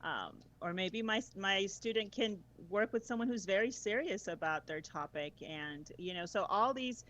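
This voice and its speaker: A woman's voice: medium pitch, animated, normal speed, hardly any pauses, fairly bright tone. A woman guest chatting casually about tutoring, enthusiastic, laughing often and using many fillers. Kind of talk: casual conversational chat.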